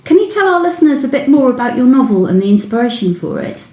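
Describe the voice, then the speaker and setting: A woman's voice: high-pitched, very animated, quick pace, a little mellow. A woman hosting a podcast, reading prepared introductions and putting questions to a guest. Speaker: podcast host and interviewer. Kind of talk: scripted introduction and interview questions. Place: indoors in a room at the guest's home. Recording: roomy echo, faint background noise.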